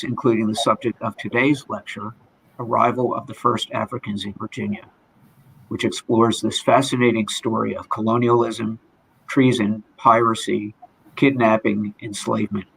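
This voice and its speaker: Gravelly man introducing a speaker. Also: lowish voice, fairly even intonation, moderate pace, a bit bright, low-quality recording. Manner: reads a prepared list. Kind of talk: formal introduction.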